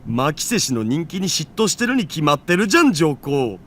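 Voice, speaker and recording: An actor playing a teasing, nerdy young otaku in a Japanese visual novel, exaggerated and mocking. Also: male voice, a little high, sing-song, racing, a bit bright, faint music behind. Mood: teasing, mock-jealous. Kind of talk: Japanese anime game voice acting.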